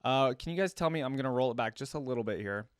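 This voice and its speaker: A man's voice: mid-range voice, expressive, very fast, clear, resonant tone, no echo, studio quality. Male reaction host, mid-range voice, conversational, very little room sound. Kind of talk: casual chat reacting to game voicelines.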